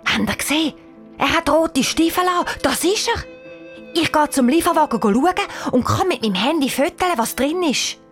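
A headstrong young boy played by a woman narrator in a children's audio story. He sounds high, eager and urgent, with a very animated pitch. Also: moderate pace, soft background music. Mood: excited. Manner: excited, urgent.

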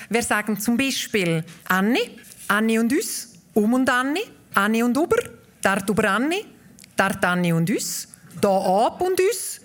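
A woman with a Walser Swiss German accent from Obersaxen, a guest explaining her dialect in a radio conversation. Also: a little high. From Obersaxen, Graubünden, Switzerland. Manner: explains patiently, reels off dialect words in quick lists. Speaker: guest, dialect association president.

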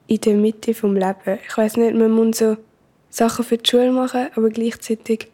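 A woman's voice: a little high, fairly even intonation, moderate pace, mellow. A teenage girl with a Swiss German accent chats casually and tentatively in an interview about herself. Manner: tentative, unsure.

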